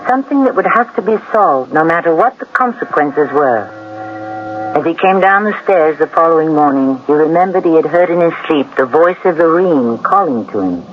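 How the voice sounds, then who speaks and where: A man's voice: a little high, animated. Casting: male suspense host, ominous scripted narration, 1970s radio, muffled broadcast with music. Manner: dramatic, deliberate, ominous. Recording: dull vintage radio broadcast.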